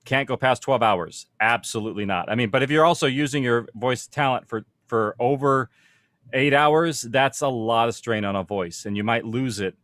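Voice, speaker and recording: A man's voice: medium pitch, fairly bright tone, no echo. A man speaking as a producer on a panel, explaining practical matters informally and conversationally, thinking aloud as he goes.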